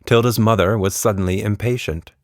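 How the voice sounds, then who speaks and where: A man's voice: on the low side, fairly even intonation, brisk, no echo, studio quality. A man narrating a scripted children's story as the storyteller.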